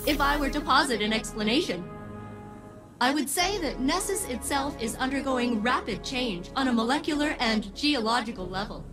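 A woman's voice: high voice, lively intonation, moderate pace, over music. An actress voicing a formal, eager-to-serve female ship AI in a video game, speaking in a precise, slightly robotic way.

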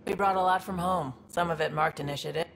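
A woman's voice: on the low side, steady tone, quick pace, a bit bright, slight background noise. An actress playing a wry young woman crew member who trades on the side, teasing and breezy. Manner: teasing, breezy, laughs easily.

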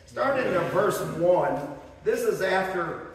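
A middle-aged pastor from the northern United States delivers a fervent sermon in a fairly high voice, building emphasis and slipping into stories. He sounds distant from the mic, and his words ring through a long, echoing church hall. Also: expressive, slow. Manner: fervent, rising emphasis with storytelling asides. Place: church sanctuary, indoors. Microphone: far camera, speaking at a lectern. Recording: echoey hall recorded from far off.

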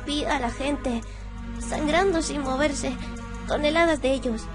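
A woman's voice: sits high, measured pace, over music. A woman voicing a scared young boy from a video game, earnest and anxious. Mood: frightened.

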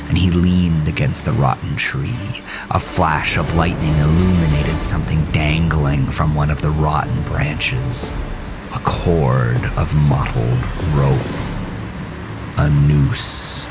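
A man's voice: extremely low pitch, low-quality recording. A man giving dramatic scripted horror narration in an ominous, theatrical manner. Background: music.

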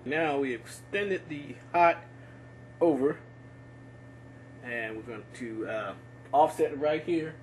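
A man working as a plumber, explaining his work in a relaxed, practical way with frequent fillers while working in a basement. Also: mid-range voice, slow pace, pauses often, a little hiss or hum. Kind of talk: instructional voice-over while working.

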